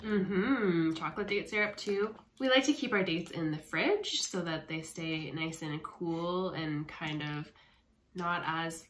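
A woman's voice: fairly low pitch, slow, a bit bright. A woman in her thirties chatting casually as host of a family vlog at home. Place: indoor room at home. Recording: echoey room with clear background noise.

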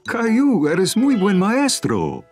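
Very animated cartoon dad. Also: male voice, sits high, slow, soft background music, exaggerated voice. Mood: playful, encouraging. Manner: gentle, explanatory. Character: patient, encouraging young cartoon father. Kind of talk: children's cartoon dub.

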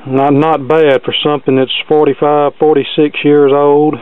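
Phone-like recording of a higher-voiced folksy man. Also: level tone, moderate pace, slight background noise. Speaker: host demonstrating vintage electronics. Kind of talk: casual hands-on gadget demonstration. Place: cluttered indoor workbench.

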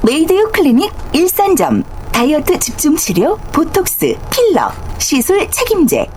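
A woman's voice: high voice, wildly swooping pitch, moderate pace, a little mellow, slight background noise. A woman reading scripted public announcements on a bus. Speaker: bus safety and advertising announcer.

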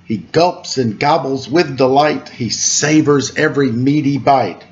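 A man in his forties reading a children's picture book aloud in a playful, expressive way, with chatty asides. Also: mid-range voice, unhurried. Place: room at home, indoors. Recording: muffled webcam audio with clear background noise.

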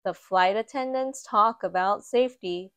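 A woman reading a children's picture book aloud in a gentle, expressive storytime voice. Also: mid-range voice, no room sound. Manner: slow, gentle and expressive, lifting for quoted lines. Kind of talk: read-aloud storytime narration. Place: indoors at a table, book filmed from above.

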